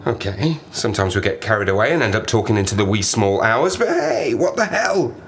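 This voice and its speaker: Male survivor, played by an actor, audio drama monologue: sardonic, rambling, isolated and cracking up, very lively intonation, faint ambient noise. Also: fairly low pitch, a little fast. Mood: wry, manic. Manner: rambling, self-mocking, sardonic, talks to himself. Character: stranded plane-crash survivor, wry, lonely, rambling, half-mad.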